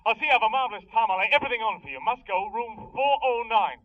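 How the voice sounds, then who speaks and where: Thin phone-line sound, high-pitched fast-talking male army pal, jaunty, secretive, pressing, audio drama. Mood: hurried. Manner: chummy, pressing. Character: jaunty, secretive male old army mate, middle-aged. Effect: telephone voice.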